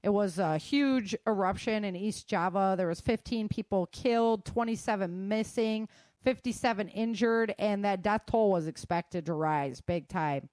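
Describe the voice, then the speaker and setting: A woman's voice: mid-range voice, normal speed, a little mellow, dry recording. A woman hosting a show, running through news in an informal, slangy way with chatty asides. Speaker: host of a survival news show.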